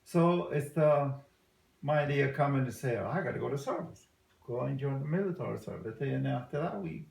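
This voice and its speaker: Gravelly, halting man from El Salvador. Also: mid-range voice, animated, a little mellow, reverberant, rough recording. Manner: halting, many uh fillers, non-native English. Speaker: interviewee. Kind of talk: casual family interview.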